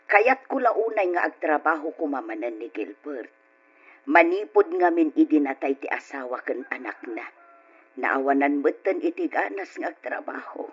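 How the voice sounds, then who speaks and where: A woman playing a worried elderly mother and grandmother with a husky, rough voice and Ilocano accent in an old radio drama. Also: deep voice, highs cut off, heavily acted.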